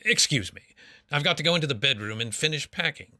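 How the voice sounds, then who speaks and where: An actor playing a crusty, irritable, jittery older father in a radio mystery, with a gruff, blustering, suspicious manner. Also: a little high, lively intonation, fast, heavy background noise, close to an everyday voice. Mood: flustered. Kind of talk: radio mystery drama acting.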